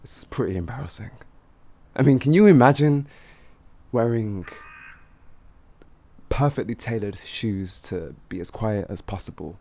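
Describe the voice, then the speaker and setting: A man playing a cocky, flirtatious highwayman who teases and gloats in an improvised fantasy roleplay spoken to the listener. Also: measured pace, gravelly, pauses often, mellow. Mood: chatty, amused. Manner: teasing, gloating, chuckling, improvised hesitations.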